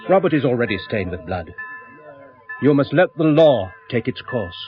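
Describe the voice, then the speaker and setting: An actor playing a grim, fatalistic companion in a 1970s British radio horror drama, speaking in a grave, insistent manner. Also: male voice, mid-range voice, big pitch swings, moderate pace, lightly acted. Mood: grave, firm. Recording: dull lo-fi radio recording, music behind.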